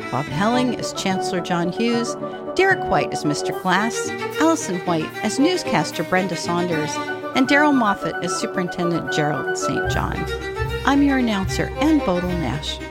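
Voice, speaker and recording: A woman announcer reading the radio drama's closing credits in a clear, bright way. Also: lowish voice, sing-song, normal speed. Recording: over loud theme music.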